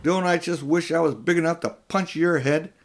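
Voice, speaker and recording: A man's voice: a little high, normal speed, voice pushed up, close to an everyday voice. A man voicing a brave, indignant young schoolboy in a children's audiobook, talking bluntly and defiantly. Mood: defiant, worried. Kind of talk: children's audiobook character reading.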